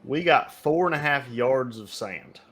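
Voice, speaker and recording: A young man co-hosting a casual podcast from home, talking in a loud voice that carries and telling stories in a chatty way. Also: medium pitch, lively intonation, unhurried. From United States. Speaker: podcast co-host. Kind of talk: casual chat. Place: home, remote recording. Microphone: home podcast mic.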